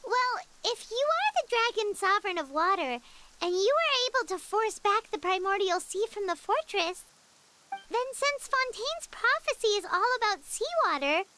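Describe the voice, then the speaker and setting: A woman voicing a small, excitable, childlike fairy companion in a video game, curious, talkative and blunt, with a squeaky voice. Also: normal speed, a little hiss or hum, full character voice, low-quality recording. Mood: inquisitive. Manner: speaks of herself in third person, blunt. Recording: music behind the voice.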